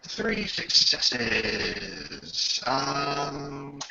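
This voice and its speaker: A man narrating a tabletop game as game master in a halting way, often pausing mid-sentence, on a dull home microphone. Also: fairly high pitch, big pitch swings, vocal fry, noisy background.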